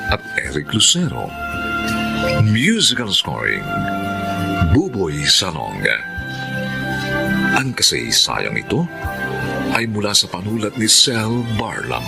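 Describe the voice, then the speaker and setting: A deep male announcer with sweeping intonation narrates a radio drama. His delivery is solemn and declamatory, and music plays clearly behind him. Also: huge pitch swings, slow pace, long pauses. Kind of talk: formal, dramatic scripted narration.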